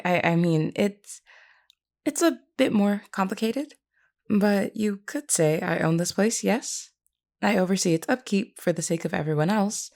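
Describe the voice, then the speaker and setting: Woman acting as a low, creaky, courteous vampire queen. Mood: flustered. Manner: polite, soft-spoken reassurance with hesitant asides. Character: gentle, courteous vampire queen welcoming a newcomer. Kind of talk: intimate audio roleplay acting.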